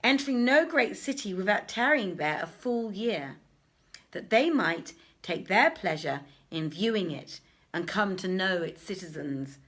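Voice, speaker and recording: A woman plays an elderly storybook vizier, courteous and dignified. The speech is formal and declamatory, delivered at an unhurried, stately pace. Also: mid-range voice, expressive, fairly bright tone. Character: old male wazir, dignified, courteous, stricken in years.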